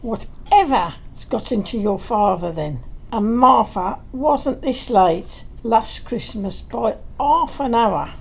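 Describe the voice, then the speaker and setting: Tart, motherly wife, played by a woman, gravelly edge, highish mellow voice, blunt, audio drama. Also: expressive, takes it slowly, full character voice, low-quality recording. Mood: fussing, fond. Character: tart, fond, working-class wife and mother. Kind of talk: audio play acting.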